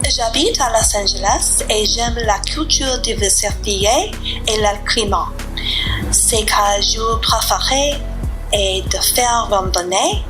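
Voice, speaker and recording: A middle-aged woman, a beginner French student reading her answers slowly and carefully. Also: mid-range voice. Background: background music.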